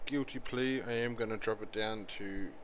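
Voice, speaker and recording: Male gamer, lowish voice, casual police role-play; halting, matter-of-fact delivery with faint background noise. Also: fairly even intonation. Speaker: streamer role-playing a police chief. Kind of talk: improvised online role-play, casual procedural talk.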